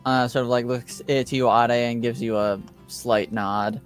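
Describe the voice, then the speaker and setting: A man acting as game master, casually narrating and explaining rules in a halting, deliberating way. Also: mid-range voice, steady tone, faint music behind.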